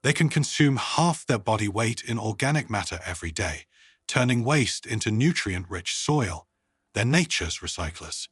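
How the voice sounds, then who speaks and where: Enthusiastic female scientist in a sci-fi storybook read by a man, earnest, eager, persuasive, animated pitch, faintly rough-edged. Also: normal speed, no room sound, clean studio sound, heavily acted. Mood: cheerful enthusiasm. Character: enthusiastic, earnest female human scientist proudly presenting worms.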